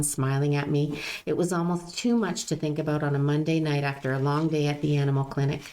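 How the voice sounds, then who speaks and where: An older woman hosting a chat and reading aloud from her own novel, agreeable and quick with "yeah". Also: low voice, a little mellow, poor audio. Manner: agreeable, frequent "yeah" backchannels. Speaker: podcast host and author reading her novel. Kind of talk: casual chat and book reading.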